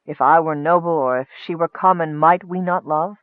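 Man playing a timid, high-voiced young cleric, muffled. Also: normal speed, dry recording, close to an everyday voice. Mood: wistful, bitter. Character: timid anguished young cleric, earnest and bewildered.

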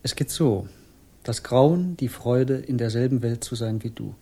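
Gentle male poet, breathy, mellow, soft-spoken, brooding, radio crime drama. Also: medium pitch, unhurried. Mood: solemn.

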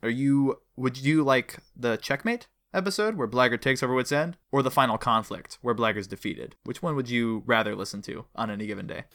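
A man's voice: mid-range voice, brisk, few pauses, fairly bright tone. A man hosting a casual, enthusiastic fan interview, talking eagerly and wordily and often correcting himself mid-sentence. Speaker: host interviewing a guest; audio drama producer and writer.

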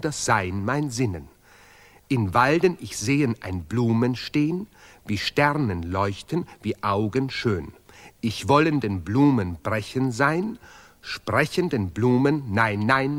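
An actor playing a grandiose, self-praising artist who recites ceremoniously in deliberately broken German, in a stylised radio play. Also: male voice, medium pitch. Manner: pompous, ceremonious, declamatory.

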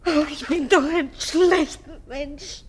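A woman's voice: high-pitched, takes it slowly. An actress playing a poor, guilt-ridden young mother in a German radio play, plaintive and folksy, defiant yet despairing.

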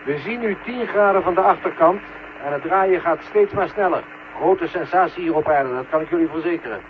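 Mission controller over crackly radio link. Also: male voice, on the high side, brisk, phone-quality sound. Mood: calm, matter-of-fact. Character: male mission controller on Earth, calm, matter-of-fact. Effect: radio link filter. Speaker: actor in a radio play. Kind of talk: 1970 Dutch science fiction radio drama acting. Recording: thin, noisy radio-transmission sound.

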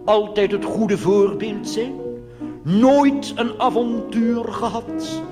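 A man voicing a snide young grandson in a cabaret act, mocking and drawn-out, in a reverberant hall. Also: high-pitched, voice pushed up. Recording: live hall recording with long reverb, music.

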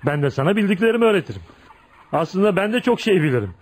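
A feared tough man w a good heart, radio drama, blunt folksy talk, voice a bit high. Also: big pitch swings, fast talker, darkish tone. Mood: earnest, friendly. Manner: blunt, probing, folksy, punctuated with "ha?". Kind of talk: Turkish radio theater acting.

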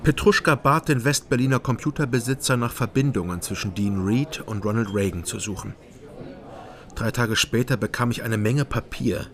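Man playing a gravelly, terse East Berlin detective. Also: medium pitch, normal speed, a bit bright, faint music behind, close to an everyday voice. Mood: sober narrating. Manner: terse, probing, matter-of-fact. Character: dogged middle-aged East Berlin private detective, ex-policeman. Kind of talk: radio crime drama dialogue and first-person narration.

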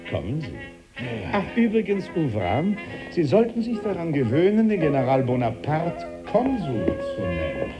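An actor playing a commanding, sardonic French politician in a theatrical German radio play. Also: male voice, fairly high pitch, huge pitch swings, normal speed, over loud music. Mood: dryly ironic. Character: commanding, sardonic male politician of Napoleonic-era France.

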